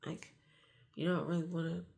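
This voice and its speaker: A middle-aged woman vlogging a hushed, confessional talk early in the morning, with a stuffy nose from getting sick. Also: on the low side, completely flat delivery, quick pace, vocal fry. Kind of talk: confessional vlog.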